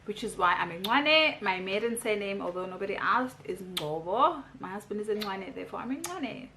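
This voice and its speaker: A woman's voice: mid-range voice, expressive, normal speed, noisy background. A South African woman in her thirties, chatting casually as she reads out questions and answers them in a vlog. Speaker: YouTuber. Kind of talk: casual get-to-know-me vlog.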